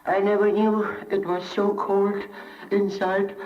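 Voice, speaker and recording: A frail old father with a high, quavering voice and slow delivery. He is tender and emotional, in the manner of an old radio play. The recording is dull and echoey. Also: flat delivery. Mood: despairing. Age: older. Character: frail, kindly old father, starving and despairing. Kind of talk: 1930s radio drama acting. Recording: dull, echoey distant 1939 radio broadcast.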